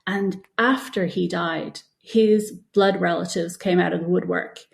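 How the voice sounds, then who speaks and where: A woman's voice: fairly low pitch, steady tone, unhurried, gravelly. A woman giving conversational interview answers as an author, explaining things in a discursive way, thinking aloud as she goes. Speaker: guest, children's author and former TV producer.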